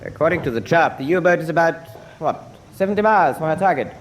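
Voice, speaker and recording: An actor playing a suavely sceptical Nazi ministry official in a radio drama, precise, polite and insinuating. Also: male voice, on the high side, expressive, fast, lightly acted. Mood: sceptical, needling.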